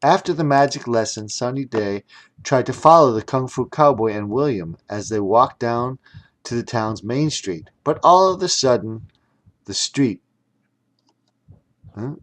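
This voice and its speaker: Animated, unhurried male children's storyteller. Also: mid-range voice. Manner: theatrical, with playful sound words. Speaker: audiobook narrator reading his own story.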